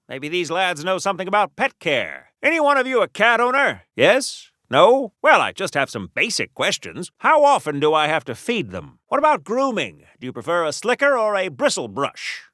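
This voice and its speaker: An actor voicing a chipper, naive old-fashioned superhero for a video game, with theatrical, politely oblivious, mock-formal delivery in a 1940s radio style. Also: male voice, high voice, sharp tone, no room sound, clean studio sound, full character voice.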